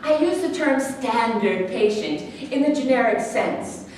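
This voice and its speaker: A woman in a staged play reading, playing a composed psychiatrist who asks probing questions in a measured, clinical way. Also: a little high, lively intonation, darkish tone. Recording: very echoey room, distant mic, faint noise.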